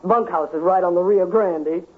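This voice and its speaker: A woman's voice: fairly low pitch, normal speed, natural voice. An actress playing a folksy, breezy cowgirl who sells Christmas trees in drawling cowboy lingo, in 1950s radio comedy style. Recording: tinny, band-limited 1950s radio recording.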